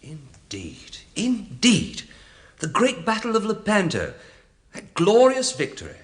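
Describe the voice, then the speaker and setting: An actor playing a timid, apologetic parish priest in a radio play, hesitant and rambling, easily flustered. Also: male voice, high voice, wildly swooping pitch, slow pace, exaggerated voice. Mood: eager, rambling. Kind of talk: radio drama acting.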